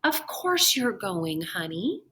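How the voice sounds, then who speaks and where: A woman's voice: medium pitch, big pitch swings, slow pace, pushed and bright, heavily acted. A woman reading a storybook as a coaxing, cheerful man who chides and dismisses worries. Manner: coaxing, chiding. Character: coaxing, cheerful husband, Mister Hartwell, firmly dismissing worries.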